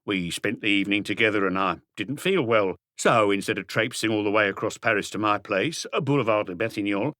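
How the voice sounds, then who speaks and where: A man's voice: fairly low pitch, brisk, few pauses, pushed and bright, no echo, studio quality. A man voicing a shifty thirty-five-year-old small-time crook, defensive and evasive, in an audiobook mystery.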